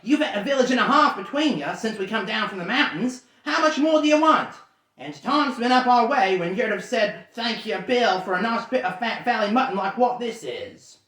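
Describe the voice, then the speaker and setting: A man voicing a big, greedy, quarrelsome troll who talks in rough slang. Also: very high-pitched, expressive, moderate pace, roomy sound, pitched above the performer's own voice, exaggerated voice. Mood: grumbling. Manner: rough working-class slang, loud and gruff.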